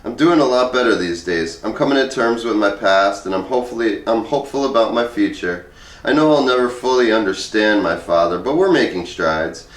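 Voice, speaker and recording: A man with a fairly low voice reads a comic essay aloud. His delivery is conversational and wry, like personal storytelling. The room echoes clearly and he sounds a little way back from the microphone. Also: lively intonation, brisk. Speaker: reader of a humorous essay. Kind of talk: comedic first-person essay read aloud. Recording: roomy echo, distant microphone.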